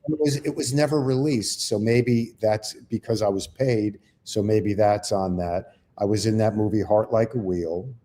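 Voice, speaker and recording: A man's voice: fairly low pitch, measured pace, few pauses, mellow. An older man, a comedian co-hosting, telling rambling, self-deprecating stories in casual chat. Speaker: comedian co-host.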